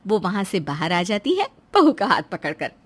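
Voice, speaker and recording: A woman's voice: medium pitch, wildly swooping pitch, moderate pace, husky. A woman working as a story narrator, reading scripted narration for a moral tale.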